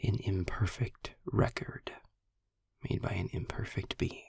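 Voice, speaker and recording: A man playing a sarcastic, weary imprisoned demon in an intimate audio roleplay, with a husky, gravelly, breathy voice and a dry, articulate, deliberate delivery. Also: sits low, fairly even intonation, a little mellow, no echo, in a whisper, heavily acted. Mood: bitter, somber. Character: sarcastic, weary male demon, long imprisoned, dryly eloquent. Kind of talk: audio roleplay, intimate slow monologue to the listener.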